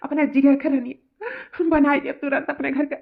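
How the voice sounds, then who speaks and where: A woman's voice: sits high, little pitch movement, normal speed, gravelly. A woman voicing a melodramatic, wheedling young male lover in a cartoon comedy, repeating phrases with an eastern Uttar Pradesh accent. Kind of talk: cartoon family comedy acting. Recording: tinny, phone-like narrow audio.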